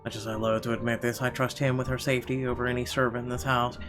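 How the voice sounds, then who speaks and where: A man narrates a horror visual novel in the first person as he plays. His medium-pitched voice is dramatic and expressive, with faint music running underneath. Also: quick pace. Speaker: let's player reading game narration.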